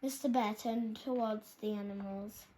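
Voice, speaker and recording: A woman's voice: mid-range voice, steady tone, normal speed, warm tone. A woman reading a children's storybook aloud as its narrator. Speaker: storybook reader. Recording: clearly noisy background.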